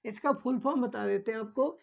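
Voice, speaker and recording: A woman's voice: a little high, a little fast, vocal fry, lo-fi sound. A woman instructor giving an informal explanatory lesson, repeating key points and answering her own questions.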